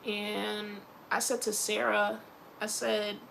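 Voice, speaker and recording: A woman's voice: medium pitch, level tone, takes it slowly, creaky, a bit bright, a little hiss or hum, rough recording. A young woman from Philadelphia with a Philly accent, talking casually and rambling in a personal vlog, often laughing and mentioning her faith. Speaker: vlogger.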